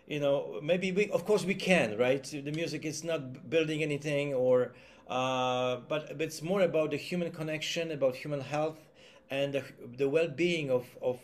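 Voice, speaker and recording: A man with a Polish accent giving a talk as a concert musician, hesitant with many fillers and restarts, partly reading a prepared text. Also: a little high. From Poland. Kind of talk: presentation, partly read aloud.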